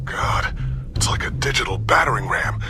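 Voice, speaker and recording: An actor playing an anxious male tech expert in a video game, halting and alarmed as he explains. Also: medium pitch, expressive, gravelly. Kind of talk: video game dramatic acting. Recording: music behind the voice.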